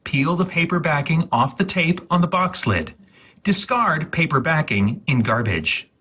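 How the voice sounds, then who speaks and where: Husky man reading instructions very slowly. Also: on the high side, expressive, pushed and bright. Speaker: host. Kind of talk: deliberate dramatic reading of an instruction leaflet.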